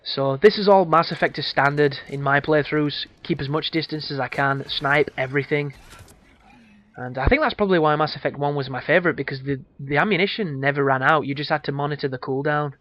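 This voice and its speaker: A man with a hoarse voice, giving casual, crude, rambling commentary over a game walkthrough. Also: a little high, brisk, a bit bright, muffled recording. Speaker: gameplay commentator.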